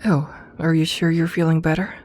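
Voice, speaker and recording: A woman playing a doting, teasing evil lamia queen in an ASMR roleplay, soft-spoken and unhurried, with formal phrasing and near-whispered murmurs. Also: low voice, level tone. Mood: gentle, reassuring. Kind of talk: ASMR roleplay, intimate personal attention.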